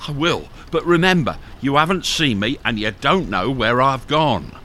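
A man's voice: mid-range voice, lively intonation, moderate pace, sharp tone. A man playing a hunted, hardened smuggler in a radio thriller, terse, commanding and evasive. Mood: urgent, commanding. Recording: noisy radio drama with rain sounds.

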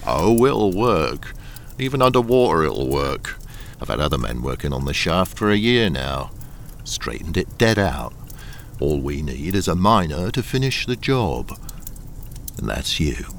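An actor playing a suave smuggling boss in a radio crime drama, smooth, patronizing and politely threatening. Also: male voice, rumbling low, big pitch swings, unhurried, a little hiss or hum, full character voice. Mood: confident, persuasive. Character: suave menacing smuggling boss, coolly blackmailing a deserter.

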